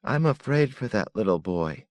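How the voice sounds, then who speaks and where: A man's voice: fairly low pitch, sing-song, moderate pace, no echo, muffled recording, pitched above the performer's own voice. A man reading aloud and voicing a frightened young boy who pleads through tears. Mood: pleading. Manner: pleading, sobbing.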